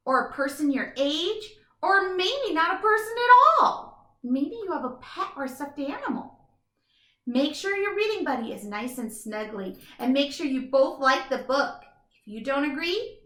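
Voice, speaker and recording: A woman doing an expressive children's read-aloud as a teacher, with emphatic, instructive delivery. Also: high voice, echoey room. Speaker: teacher reading a picture book aloud.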